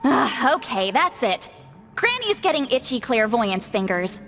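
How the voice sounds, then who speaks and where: A woman's voice: fairly high pitch, big pitch swings, unhurried, a bit bright, faint music behind, full character voice. An actress playing a brusque, confident, wry granny shaman in a video game, with a blunt, assured delivery. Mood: brisk, firm.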